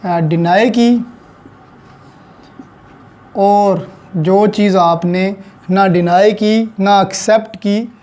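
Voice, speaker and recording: A man with a Pakistani accent and a notably high, mellow voice delivers an explanatory lecture. He teaches in a didactic way, setting out one point after another and slipping English technical terms into his sentences. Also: steady tone, extremely slow, long pauses. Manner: didactic, code-switches into English legal terms. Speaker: law lecturer.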